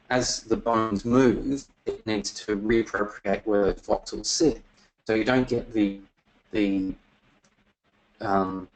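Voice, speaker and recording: A man presenting software features in a webinar, talking casually with many fillers, his voice getting worn and losing strength. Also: on the low side, low-quality recording. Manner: casual, many fillers and restarts, throat clearing.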